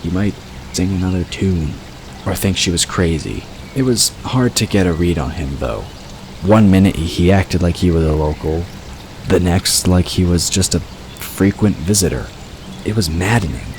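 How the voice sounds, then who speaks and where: A man's voice: deep voice, normal speed, a little mellow, a little hiss or hum. A man reading scripted fiction narration as an audiobook narrator, in a storytelling style. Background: outdoor ambience and water sound effects.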